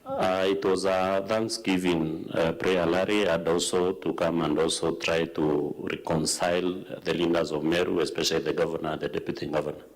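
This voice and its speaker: Very deep-voiced Kenyan male witness. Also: steady tone, normal speed, hardly any pauses, rough recording. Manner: brief, plain answers. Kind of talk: testimony under questioning.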